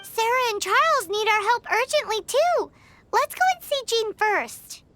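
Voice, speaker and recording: A woman voicing a small, excitable, bossy fairy companion in a game dub, with a squeaky childlike voice. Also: measured pace, a little hiss or hum, full character voice. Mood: impatient. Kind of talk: English anime game dub.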